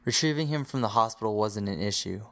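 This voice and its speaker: Low-voiced man narrating an audio drama. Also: fast talker, fairly bright tone.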